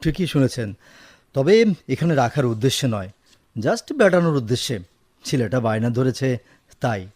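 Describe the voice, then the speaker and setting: A man's voice: medium pitch, expressive, moderate pace, darkish tone, no echo, clean studio sound, lightly acted. A man with a Bangladeshi accent acting a dutiful, firm, educated officer in a Bengali radio drama. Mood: firm, polite.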